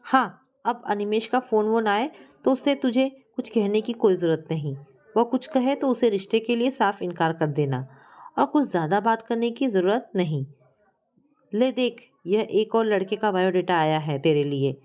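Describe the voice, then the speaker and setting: Woman narrator as imperious middle-aged mother in an audio story, scolding, mid-pitched, laying down the law. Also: lively intonation, normal speed, darkish tone, natural voice. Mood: bossy, insistent.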